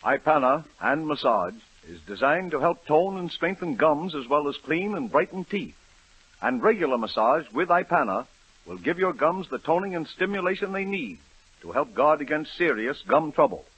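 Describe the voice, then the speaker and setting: Man, 1930s radio ad voice, husky, lively pitch, dull dry recording. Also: normal speed, no room sound. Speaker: commercial announcer. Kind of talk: scripted radio advertisement.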